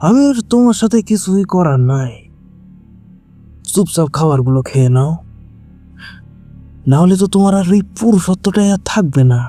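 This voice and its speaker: A man's voice: high voice, lively intonation, moderate pace, pauses often, mellow, faint music behind. A man acting a cruel, ruthless occultist father in an audio story, threatening and coarse-tongued. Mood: smug threat.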